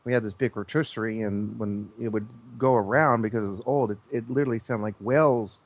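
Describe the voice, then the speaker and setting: A man's voice: lowish voice, steady tone, brisk, no room sound, highs cut off. A man talking casually as a show guest, explaining things in a rambling, earnest, insistent way.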